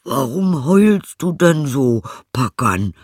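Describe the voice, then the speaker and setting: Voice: medium pitch, big pitch swings, no room sound, studio quality, voice pushed up. A storyteller voicing an eager, encouraging old male donkey in an emphatic storybook manner. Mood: curious, concerned. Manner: exclaiming, drawn-out, emphatic storybook delivery.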